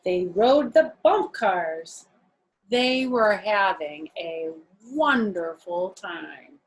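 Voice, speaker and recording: Woman, children's storyteller, unhurried read-aloud, medium pitch, casual asides, explaining to young listeners. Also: animated, poor audio.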